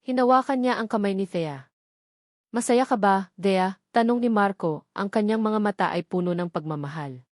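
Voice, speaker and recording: A woman narrates a romance story from a script. Her voice sits at an average pitch for a woman. The sound is very dry, with no echo around the words. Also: normal speed, a little mellow.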